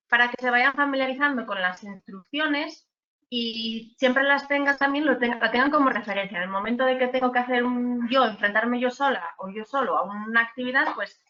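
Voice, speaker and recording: A woman with a higher, bright voice explains part of a textbook with a light, humorous touch. Her voice comes through dull, muffled video-call sound. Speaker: textbook co-author. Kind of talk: online panel talk.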